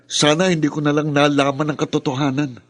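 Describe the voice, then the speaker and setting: A man's voice: fairly high pitch, little pitch movement, fairly quick, dry recording, close to an everyday voice. A man playing a bitter, wounded young adult son with an accusatory, melodramatic delivery in a radio drama. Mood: bitter, accusing.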